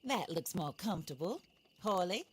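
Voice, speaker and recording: Low-voiced, vengeful genteel widow. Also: female voice, very animated, a little hiss or hum. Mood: composed, gracious. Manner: formal, composed, deliberate. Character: vengeful, genteel, commanding widow of the Old West. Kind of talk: game cutscene acting.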